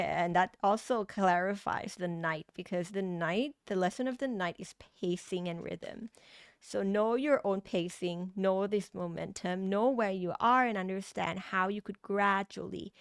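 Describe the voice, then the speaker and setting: A woman's voice: medium pitch, smooth, no room sound, studio quality. A woman with a Thai accent, a tarot reader giving a meandering spiritual reading with many restarts and fillers. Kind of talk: pick-a-card tarot reading.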